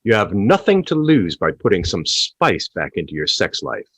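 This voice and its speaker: A wry radio agony host acted by a man in a comedy play. His voice is low and breathy, swooping theatrically between disbelief and cheek. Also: huge pitch swings, normal speed, a bit bright, no room sound, heavily acted. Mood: smooth advising. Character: cheeky, incredulous British radio phone-in host.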